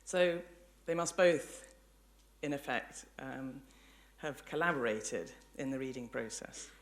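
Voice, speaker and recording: A woman giving an articulate, measured public lecture with illustrative storytelling. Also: very deep voice.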